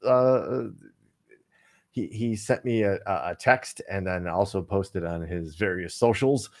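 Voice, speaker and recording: Man, low voice, rambling, lots of fillers, casual podcast co-host. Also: unhurried. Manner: rambling, many fillers and restarts.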